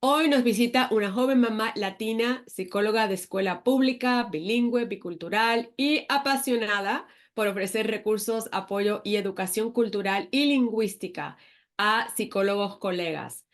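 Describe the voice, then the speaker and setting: A woman's voice: mid-range voice, moderate pace. A woman hosting a parenting podcast, reading the introduction and then chatting casually with the guest. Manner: reads the intro, then chats loosely with laughs. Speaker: podcast host. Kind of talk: scripted introduction and casual chat.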